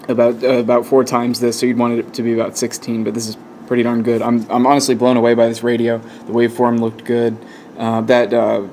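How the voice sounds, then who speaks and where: A man giving a casual, hands-on demonstration as a hobbyist video host, talking haltingly as he thinks aloud at an indoor workbench. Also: medium pitch, a little mellow. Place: indoor workbench with electronics gear. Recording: clearly noisy room ambience.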